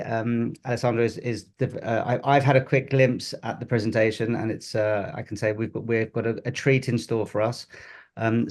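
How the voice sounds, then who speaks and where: Man, mid pitch, group organizer, halting intro, many restarts. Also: a little mellow. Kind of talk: meeting introduction. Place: bookshop room.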